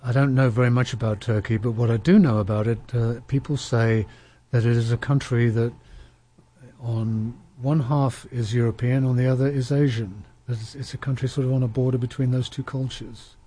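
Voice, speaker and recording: A man hosting a conversational interview, his voice mellow and a little husky. He speaks hesitantly, repeating words and restarting his phrases as he thinks. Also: medium pitch, fairly even intonation, normal speed.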